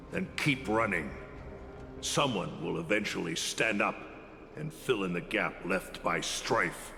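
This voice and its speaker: An actor playing an embittered, defiant old warrior teacher in a video game, gravelly and accusing in measured dramatic lines. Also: male voice, low-pitched, exaggerated voice. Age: older. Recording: long hall reverb under loud music.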